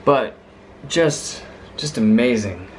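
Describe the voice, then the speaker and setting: A man's voice: medium pitch, lively intonation, takes it slowly, slight background noise. A man giving an informal, rambling hands-on show-and-tell as a hobbyist, with an enthusiastic, marveling manner. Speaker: vintage radio hobbyist presenting a video.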